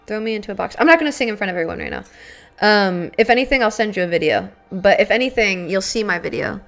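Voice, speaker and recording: Raspy young woman chatting on stream. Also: medium pitch, big pitch swings, fast, a bit bright. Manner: chatty, rambling, swearing, many fillers. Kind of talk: casual live-stream chat with viewers. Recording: faint background music.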